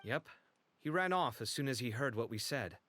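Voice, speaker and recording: An actor playing an expressive, earnest young man in a video game, with an emphatic conversational delivery. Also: medium pitch, normal speed. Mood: troubled, determined.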